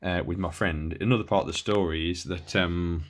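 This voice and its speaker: A man's voice: sits low, fairly even intonation, moderate pace, a little hiss or hum. A man giving a casual, unscripted hands-on equipment demonstration as a hobbyist, hesitant and rambling as he thinks aloud. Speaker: hobbyist presenter reviewing radio equipment.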